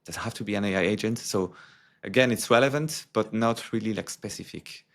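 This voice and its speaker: A man who works as a security executive, answering interview questions in a halting, filler-heavy way. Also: fairly low pitch, a bit bright.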